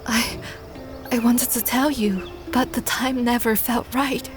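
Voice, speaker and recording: An actress playing a gentle, anxious young woman in love, speaking hesitantly and tenderly in an audio drama. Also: on the high side, steady tone, slow, over music. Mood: anxious, confessing.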